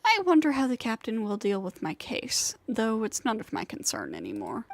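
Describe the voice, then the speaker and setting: A woman's voice: on the high side, huge pitch swings, normal speed, creaky, no echo, heavily acted. A woman voicing a burnt-out, self-doubting young female flying rider in a video game, weary and bitter as she vents. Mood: weary, dejected. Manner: weary, venting, self-deprecating. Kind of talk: scripted video game voice acting. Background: game music.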